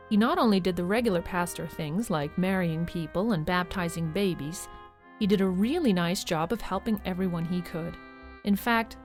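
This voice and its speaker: A woman narrating a storybook tale for an audio drama, conversationally addressing the listener. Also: medium pitch.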